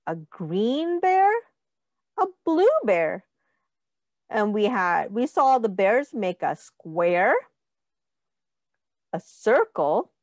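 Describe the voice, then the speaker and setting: A woman's voice: medium pitch, dry recording, clean studio sound. A woman reading a children's picture book aloud like a teacher, sing-song and encouraging, with prompts to count along.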